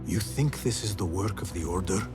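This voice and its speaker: This is a man voicing a determined assassin who speaks in few, firm words. His voice sits low, and clear music plays behind him. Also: normal speed, lightly acted. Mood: resolute. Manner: low, terse, resolute. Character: stern male assassin, determined. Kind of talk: video game cutscene acting.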